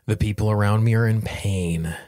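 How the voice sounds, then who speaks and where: A man hosting a self-help podcast, coaching listeners directly in measured, deliberate phrases. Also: on the low side, a little mellow, no echo, studio quality. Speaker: podcast host and tapping coach.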